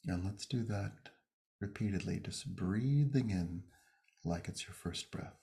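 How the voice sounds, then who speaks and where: A man leading a guided meditation and prayer, speaking gently and unhurriedly in short phrases with pauses between them. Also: fairly low pitch, gravelly.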